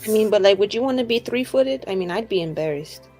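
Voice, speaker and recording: Woman acting as young female adventurer, friendly, naive, chatty, mid-pitched, casual rambling speech, tabletop game, faint music behind. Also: lively intonation, fast talker, darkish tone, lightly acted. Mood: concerned, chatty. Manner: rambling, casual, filler-heavy valley-girl phrasing. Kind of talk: improvised tabletop roleplay dialogue.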